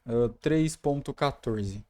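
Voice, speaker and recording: A man's voice: medium pitch, takes it slowly, dark tone, no room sound. A young man with a Brazilian Portuguese accent, casually explaining code as he types in a screen-recorded tutorial. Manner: casual, talks through code while typing. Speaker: programming tutor.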